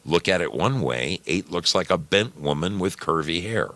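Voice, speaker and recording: A man narrating a scripted story dramatically, with deliberate, suspenseful phrasing. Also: deep voice, expressive, bright, forceful tone, dry recording.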